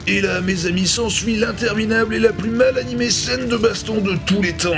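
High-voiced sarcastic Frenchman over music. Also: fairly even intonation, fairly quick, creaky. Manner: sarcastic, crude. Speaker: host narrating a retro video review. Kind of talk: scripted comic narration. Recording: music behind the voice.

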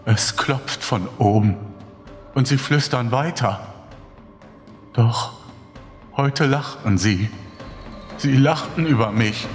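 A man voicing a desperate survivor losing his mind alone in a bunker, halting and anguished, in a horror story. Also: mid-range voice, close to an everyday voice. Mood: haunted, longing. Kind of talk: German horror audiobook narration. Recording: long reverb over background music.

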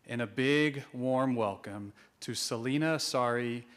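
A man's voice: mid-range voice, fairly bright tone. Man emceeing a graduation ceremony. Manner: friendly, a bit halting with fillers. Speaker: event host introducing speakers. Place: banquet hall at a graduation celebration. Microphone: podium microphone, filmed from a far camera.

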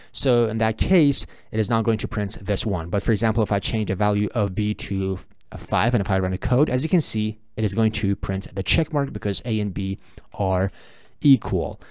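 A man's voice: on the low side, darkish tone, no echo. Man explaining code, dry close sound. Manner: repetitive, explanatory, uses "in that case" and "I mean" often. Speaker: programming instructor. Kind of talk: screen-recorded coding tutorial.

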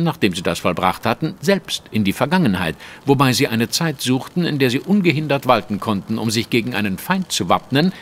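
An actor playing a shrewd, self-assured Victorian detective in an audio drama, explaining things in a precise, assertive way. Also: male voice, medium pitch, a bit bright, a little hiss or hum.

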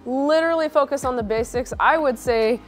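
A woman's voice: sits high, brisk. Chatty woman explaining a DIY wall. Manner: chatty, practical, relaxed. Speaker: co-host. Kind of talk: casual gym tour. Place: indoor home gym. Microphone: lapel.

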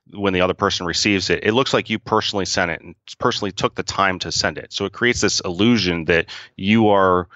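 A deep-voiced man with a bright, forceful edge to his speech walks through his work in casual, explanatory answers, dropping in dry jokes. The sound is close and dry, a little dull, like a remote call recording. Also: no echo. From upstate New York. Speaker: podcast guest, software founder.

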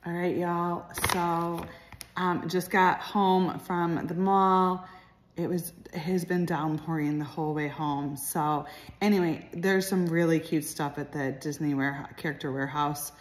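Low-voiced chatty woman vlogger. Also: fairly even intonation, measured pace. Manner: chatty, points out items, lots of "cute" and "like". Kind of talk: casual shopping vlog chat. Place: store aisles, then a home living room. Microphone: phone held by hand, later at arm's length. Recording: phone video with loud store music and crowd.

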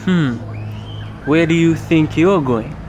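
An actor playing a taunting, domineering older boy bully in an animated comic. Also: a little high, slow pace, dull audio, faint music behind, some chatter behind. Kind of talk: animated motion comic acting.

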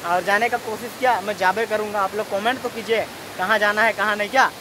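A man talks loosely and cheerfully to his viewers, his voice sitting a little high for a man. He rambles and laughs between remarks. The steady rush of a waterfall hisses loudly behind him. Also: fast. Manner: chatty, rambling, laughs often. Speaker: vlogger. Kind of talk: casual travel vlog talk. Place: outdoors by a waterfall. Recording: loud hiss of falling water.

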